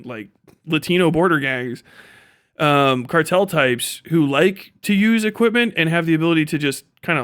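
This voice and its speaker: A man's voice: fairly high pitch, smooth. A man talking casually and off the cuff as a livestream host, blunt, slangy and profane, slipping into acted-out bits of dialogue. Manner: animated, acts out imagined dialogue. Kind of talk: casual unscripted livestream monologue.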